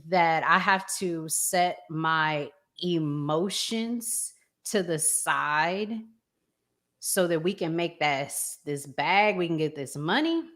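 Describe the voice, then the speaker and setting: A woman hosting a casual discussion, talking in a measured, deliberate way with pauses. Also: low-pitched, lively intonation, fairly bright tone. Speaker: host.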